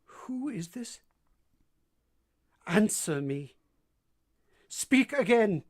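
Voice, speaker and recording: A woman playing a husky, brooding blind gentleman. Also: medium pitch, sing-song, drawn-out words, full character voice. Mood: agitated, demanding. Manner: dramatic, imploring, fervent. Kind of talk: radio drama acting.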